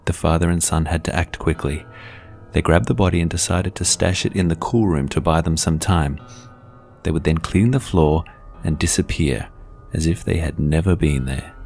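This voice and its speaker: A man narrates a scripted horror story in a measured, deliberate, dramatic storytelling manner. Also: extremely low pitch, fairly even intonation, creaky, darkish tone, faint music behind.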